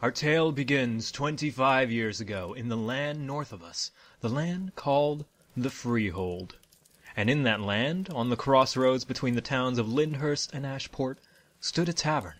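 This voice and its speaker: A man playing a genial, grandiose troupe leader, narrating a fantasy audio drama in a measured, showman-like way. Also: medium pitch, fairly bright tone, natural voice. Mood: storytelling. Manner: measured, showman-like, formal phrasing. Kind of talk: dramatic fantasy storytelling to an audience. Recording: music under the voice. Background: background music.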